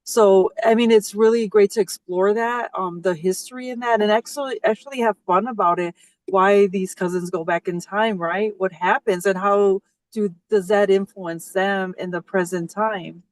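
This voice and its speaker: A woman's voice: medium pitch, fairly even intonation, moderate pace, rough recording. A woman hosting an interview, raised in a Mexican household, chatting hesitantly with many fillers over a video call. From Mexican-American household. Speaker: host. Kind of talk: casual interview.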